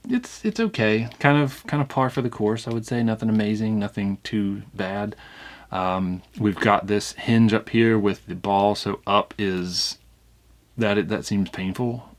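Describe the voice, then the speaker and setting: A man hosting a casual unboxing review, talking conversationally and thinking aloud as he examines things. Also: low voice. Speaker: toy review channel host.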